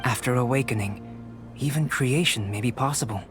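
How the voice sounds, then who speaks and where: An actor playing a calm, curious young male alchemist who talks in a measured, formal, clinical way, in a game. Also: medium pitch, lightly acted. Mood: inquisitive. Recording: game audio with clear music behind.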